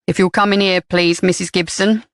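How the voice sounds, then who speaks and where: A woman's voice: fairly low pitch, steady tone, normal speed, dry recording, clean studio sound, natural voice. Woman voicing a composed male inspector. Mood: measured.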